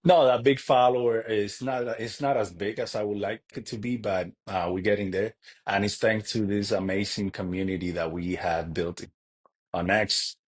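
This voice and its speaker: A man's voice: on the low side, fairly even intonation, breathy edge. A man from Cuba, a podcast guest, talking earnestly in a casual interview, often restarting his sentences. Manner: earnest, halting with frequent restarts.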